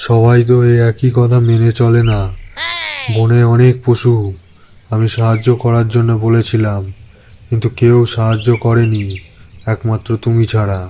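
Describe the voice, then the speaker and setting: A big, gentle cartoon elephant, played by a man, with a mid-pitched, level voice. He is polite and earnest in a humble, imploring way, with noise and birdsong clearly behind him. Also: monotone, normal speed, pauses often, natural voice. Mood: grateful, insistent. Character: big gentle male elephant, pleading and grateful. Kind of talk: cartoon fable voice acting.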